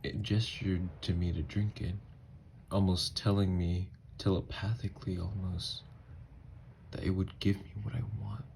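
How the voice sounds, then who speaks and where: A man plays a haunted young-blood vampire confessing in an intimate audio drama. His voice is very deep and gravelly with creaky fry. He speaks slowly and haltingly, often dropping toward a hushed breath, with anguish under every phrase. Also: level tone, a little hiss or hum. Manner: halting, hushed, breathy delivery with long pauses. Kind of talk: intimate ASMR audio roleplay monologue.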